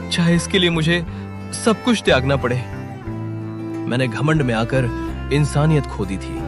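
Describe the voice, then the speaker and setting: Anguished village headman acted by a man: highish, slow, halting, repentant, music bed. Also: close to an everyday voice. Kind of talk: acted moral story dialogue. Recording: voice over clear background music.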